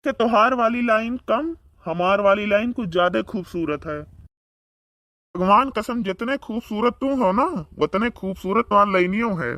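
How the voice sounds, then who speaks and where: A man's voice: high voice, moderate pace, bright, forceful tone, no echo. A man voicing a boastful, bickering village man in a comedy cartoon, speaking with an Awadhi accent and taunting banter. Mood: mocking. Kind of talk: comedic cartoon dialogue in rural dialect.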